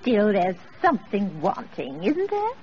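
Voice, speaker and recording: An actress playing a bright, eager young lady in a 1940s radio drama. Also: mid-range voice, wildly swooping pitch, unhurried. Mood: cheerful, chatty. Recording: muffled, noisy old radio broadcast.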